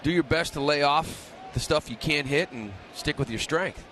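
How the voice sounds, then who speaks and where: A man's voice: on the high side, moderate pace, fairly bright tone, a little hiss or hum. A man working as a color commentator, giving casual, self-deprecating baseball analysis on the radio. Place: ballpark broadcast booth.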